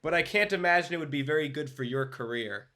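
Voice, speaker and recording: A man's voice: on the high side, animated, fast talker, bright, forceful tone. A man playing a condescending police inspector in a radio drama, sardonic and patronizing, drawing out his words for emphasis. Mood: insinuating. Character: smug, condescending male police inspector, prying and insinuating. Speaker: actor in a radio play.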